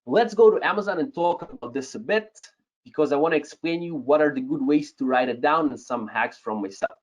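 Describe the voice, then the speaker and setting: A man's voice: a little high, brisk. A man in his thirties whose first language is Russian, teaching a live webinar with a Russian accent. He talks straight to the chat, tags his points with "yes?" and chuckles now and then. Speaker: webinar host, Amazon seller coach. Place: home room, indoors. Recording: slightly dull lo-fi webcam audio.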